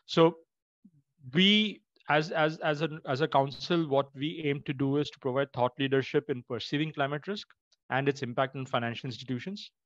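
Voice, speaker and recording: A man giving a formal introduction as a webinar host, speaking in a halting, measured way. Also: on the high side, a bit bright, no echo, muffled recording. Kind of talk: formal introduction, unscripted remarks.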